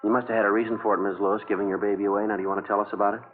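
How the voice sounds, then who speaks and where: Man as deep-voiced deadpan radio detective. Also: very fast, slight background noise, natural voice. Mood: patient, probing. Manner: deadpan, clipped, polite questioning. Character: terse, calm, persistent police detective sergeant. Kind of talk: 1950s radio drama acting. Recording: tinny vintage radio broadcast, faint music.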